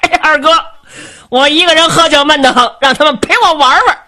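A woman voicing a boisterous, carefree middle-aged male rebel king who talks loud and brash and laughs a lot. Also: high voice, brisk, voice pushed up. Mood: jovial.

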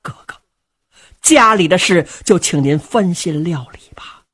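A woman storyteller voicing a dutiful young warrior who speaks in a firm, measured way. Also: lowish voice, animated, fairly bright tone, breathy edge, exaggerated voice. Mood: resolute. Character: dutiful heroic young warrior son, steady.

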